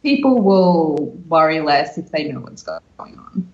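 A woman's voice: low-pitched, sing-song, a little slow, dull audio. A woman co-hosting a podcast in casual chat, recorded with a roomy echo. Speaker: podcast co-host. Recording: roomy, echoing sound.

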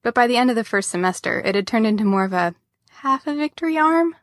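A woman's voice: fairly high pitch, animated, fast, no echo, studio quality. A woman reading fiction aloud in a wry, informal storytelling style. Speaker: audio fiction reader. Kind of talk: expressive story narration.

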